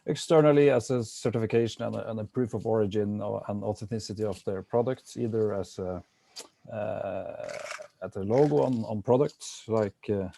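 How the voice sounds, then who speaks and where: A man speaking on a conference panel in a measured, businesslike way. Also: fairly low pitch, creaky, mellow, a little hiss or hum. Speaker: panelist, company CEO.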